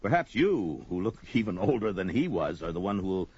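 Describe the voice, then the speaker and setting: An actor playing a young, wary, mercenary barbarian warrior with a bold, declamatory manner in an audio drama. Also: male voice, sits low, big pitch swings, fairly quick, darkish tone, slightly rough, exaggerated voice. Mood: wary, bargaining. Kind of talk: 1970s audio drama record acting. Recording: dull vintage story record with music.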